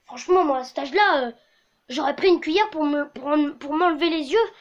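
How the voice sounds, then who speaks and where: A woman's voice: sits high, brisk, dull audio. A woman hosting a comedy web show, ranting to camera in crude, slangy exaggeration. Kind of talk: comic rant to camera.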